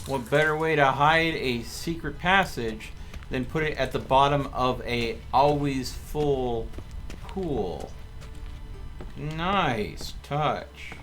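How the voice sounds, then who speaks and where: Slow-paced man commentating a game. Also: medium pitch, a bit bright. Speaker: streamer playing a video game. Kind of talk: casual live game commentary. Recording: game music playing behind the voice. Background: video game music.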